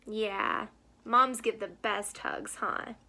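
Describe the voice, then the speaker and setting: A woman reading a picture book aloud to children in an expressive storytelling style. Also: medium pitch, slow pace, gravelly. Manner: asks the young listeners questions. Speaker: storybook reader.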